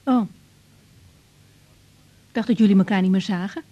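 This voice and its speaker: Actress as young female doctor, mellow, breathy-husky, caring, matter-of-fact, hesitant, radio drama, slightly muffled sound. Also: mid-range voice, normal speed, natural voice. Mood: gently probing. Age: young adult. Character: overworked young woman doctor, caring and down-to-earth. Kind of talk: naturalistic radio drama acting.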